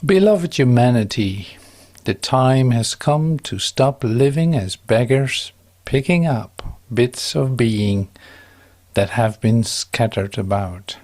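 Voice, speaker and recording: A man giving a calm, solemn, measured reading of a devotional text, with drawn-out pauses. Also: medium pitch. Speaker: devotional reader.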